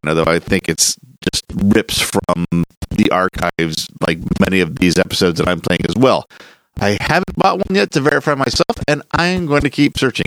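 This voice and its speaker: A man delivers a casual podcast outro. His voice sits at an average pitch and is recorded close and dry. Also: no room sound, poor audio. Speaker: podcast host.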